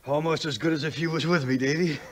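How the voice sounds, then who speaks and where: A man's voice: fairly high pitch, steady tone, fast talker, heavy background noise, natural voice. A man playing a loyal backwoods scout and sidekick, with a rustic Southern drawl, in a 1950s radio-style adventure. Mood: weary, urgent. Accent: American Southern. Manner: rustic, plain-spoken drawl.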